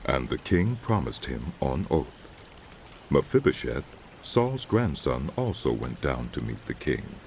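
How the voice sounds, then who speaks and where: Very deep-voiced male narrator. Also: big pitch swings, unhurried, darkish tone, a little hiss or hum. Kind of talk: scripted dramatized Bible narration.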